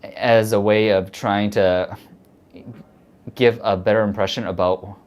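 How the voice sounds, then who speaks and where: Low-voiced woman in her twenties, hesitant. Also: fairly even intonation, unhurried, darkish tone. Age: 20s. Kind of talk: interview testimony.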